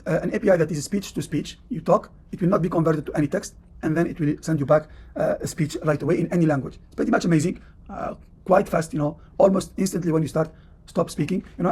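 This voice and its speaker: A man's voice: a little high, mellow, husky. A man with a Tunisian accent, a professor giving a classroom lecture and explaining at length with many "uh"s and "you know"s. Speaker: university professor.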